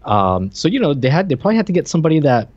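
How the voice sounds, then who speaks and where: A man in his thirties co-hosting a podcast, discussing movies casually in a conversational, halting way. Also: fairly high pitch, very animated, darkish tone, slightly rough, dry recording. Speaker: podcast co-host. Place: indoor room, video call. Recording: dry, slightly dull sound.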